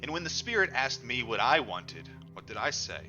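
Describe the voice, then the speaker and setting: Very bright, forceful male book narrator. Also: medium pitch, very animated, normal speed. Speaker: audiobook reader. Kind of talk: dramatic book narration in the first person. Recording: dull lo-fi recording with music behind. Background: music.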